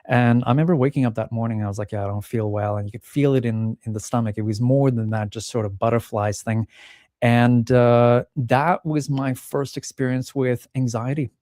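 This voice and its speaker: A middle-aged man from the Czech Republic talks as an interview guest in a mellow, warm voice, recorded dry and close. He speaks thoughtfully, often restarting phrases, and acts out remembered lines of dialogue. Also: medium pitch, fairly even intonation, normal speed, dry recording. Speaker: pianist and podcast guest. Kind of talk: reflective interview talk.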